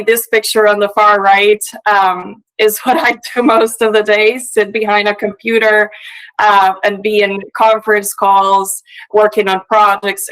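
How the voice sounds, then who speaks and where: Middle-aged Puerto Rican-accented woman, Spanish native, presenting remotely over a video call; relaxed, chatty, filler-heavy delivery. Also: mid-range voice, rough recording. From Puerto Rico. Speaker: conference speaker.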